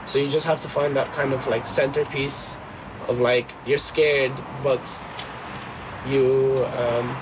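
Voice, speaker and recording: A man's voice: mid-range voice, little pitch movement, slow. Young Dublin man, noisy location recording. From Dublin, Ireland. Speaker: soldier being interviewed. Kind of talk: informal interview.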